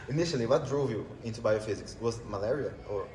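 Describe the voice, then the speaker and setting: A man's voice: mid-range voice, quick pace, a little mellow, far from the mic, slight background noise. Male interviewer, mid-range voice, off-mic in a reverberant hall. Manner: brief, prompting questions. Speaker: student interviewer. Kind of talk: conference interview questions.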